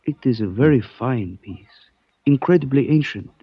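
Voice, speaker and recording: A cryptic, courtly antique dealer. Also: male voice, medium pitch, animated, husky, no room sound. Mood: cryptic, courteous. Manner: stilted formal phrasing, measured and evasive. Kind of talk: 1940s radio acting. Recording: dull dry old radio recording.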